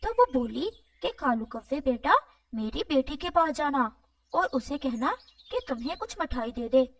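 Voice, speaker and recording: A woman's voice: high voice, animated, normal speed, no room sound, distant mic. A woman voicing a sly, greedy male crow in a children's cartoon, with a wheedling, pleading manner. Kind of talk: animated children's moral story dialogue.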